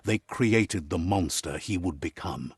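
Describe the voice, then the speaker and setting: An actor voicing a wry, wise male storyteller, narrating a video game fable in a measured, theatrical way. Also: deep voice, animated, breathy edge, no room sound, full character voice. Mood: grave, ominous.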